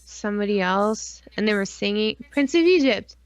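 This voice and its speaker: A woman's voice: medium pitch, normal speed. A woman co-hosting a podcast in casual chat, rambling and swearing freely as she tells stories and acts out asides. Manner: profane, rambling, animated storytelling with acted-out asides. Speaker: podcast co-host.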